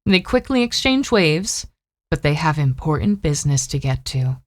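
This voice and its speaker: A woman's voice: low voice, very animated, normal speed, no room sound. A woman narrating an audio drama in a scripted, dramatic storytelling style.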